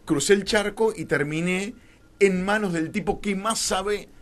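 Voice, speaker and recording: A man's voice: sits high, unhurried. A man with a Rioplatense Argentine accent telling anecdotes conversationally as a radio guest, wry and theatrical, acting out bits of dialogue. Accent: Rioplatense Argentine Spanish. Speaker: interview guest, filmmaker. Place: radio studio.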